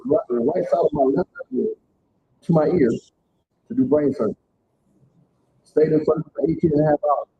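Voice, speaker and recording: Middle-aged man, plain-spoken and emphatic, giving testimony to a church-hall crowd. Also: vocal fry. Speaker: speaker at community event. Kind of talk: personal testimony to a live audience. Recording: tinny phone-like sound, faint rumble.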